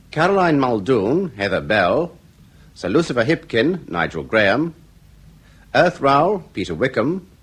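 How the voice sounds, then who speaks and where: A man reading scripted radio drama credits as a formal, measured closing announcer. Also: mid-range voice, slightly rough.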